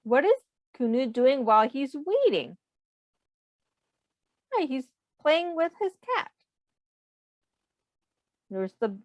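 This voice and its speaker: Lady teacher reading a kids book, slow n patient, really expressive, asks little questions. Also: sits high, dry recording. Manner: simple, patient explaining with prompting questions. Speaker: early childhood teacher reading aloud. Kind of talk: picture book read-aloud with questions to young children.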